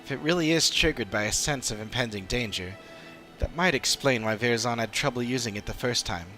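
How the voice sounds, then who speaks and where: A man voicing an earnest, analytical adventuring companion in a fantasy game, speaking in a measured, formal way. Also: medium pitch, bright, forceful tone, soft background music, lightly acted. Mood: thoughtful. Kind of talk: dramatic reading of game dialogue.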